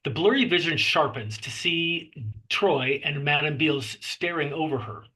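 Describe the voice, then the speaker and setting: A man narrates scene directions from a horror script. His voice is a little high, bright and forceful, with a husky edge. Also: measured pace, low-quality recording. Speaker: stage directions reader.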